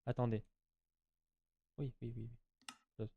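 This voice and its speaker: A man's voice: mid-range voice, steady tone, slow pace, slightly rough, phone-quality sound. A man giving casual, slangy gameplay commentary, chatting to his viewers and often repeating himself. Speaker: gaming YouTuber.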